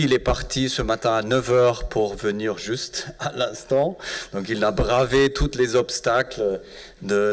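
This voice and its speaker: Bavarian-accented man, hesitant. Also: medium pitch. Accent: German (Bavarian). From Bavaria, Germany. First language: German. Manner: hesitant, many filler sounds. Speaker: urban planning professor, event moderator. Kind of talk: public lecture introduction.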